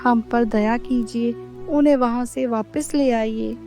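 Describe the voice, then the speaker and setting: A woman voicing an earnest, worried, devoted fisherman's wife and mother in an animated moral story. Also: a little high, measured pace, soft background music. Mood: pleading.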